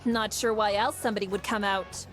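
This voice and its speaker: A woman's voice: mid-range voice, steady tone, a little fast, a bit bright, faint music behind, natural voice. An actress playing a cocky, scornful young woman treasure hunter with a snide, self-assured delivery in a visual novel game.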